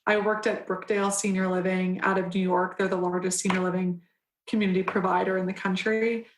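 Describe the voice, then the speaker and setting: A young adult woman council member answering interview questions in an earnest, measured way, with frequent pauses and restarts. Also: mid-range voice, little pitch movement, reverberant, slight background noise, low-quality recording.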